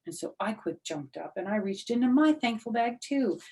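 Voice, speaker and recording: A woman's voice: a little high, animated, moderate pace, mellow. An older woman with an American accent, reading a children's story expressively with simple, chatty explanations for young listeners. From United States. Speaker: storyteller, former teacher.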